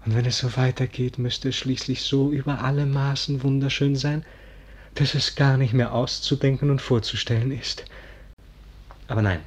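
A man's voice: medium pitch, fairly even intonation, normal speed, husky, natural voice. An actor playing a smitten, rapturous young page in a 1940s German radio play, gushing and lingering on his words. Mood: excited, tempted. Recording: noisy 1940s radio recording.

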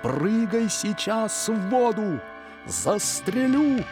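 A man's voice: high voice, lively intonation, takes it slowly, over music, pitched above the performer's own voice. A man voicing a stern, desperate ship captain and father in an audio story, calling out urgent commands. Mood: frantic, commanding.

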